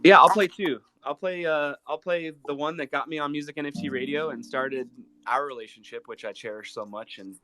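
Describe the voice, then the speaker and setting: A man with an American accent chatting casually as a musician guest, in an earnest, halting, appreciative way. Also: fairly high pitch. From Ohio, United States. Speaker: guest musician, guitarist and pop artist. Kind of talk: casual live interview chat.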